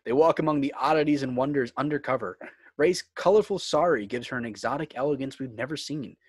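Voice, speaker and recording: A man's voice: mid-range voice, fast, no room sound. A man reading screenplay stage directions as the narrator of a script table read.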